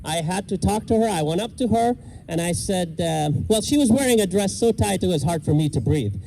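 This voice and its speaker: A middle-aged man from Iraq doing a self-deprecating stand-up set with deadpan punchlines, outdoors in a park. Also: sits high, a little fast, creaky, rough recording. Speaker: stand-up comedian. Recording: outdoor noise and passing traffic. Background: park ambience and vehicles.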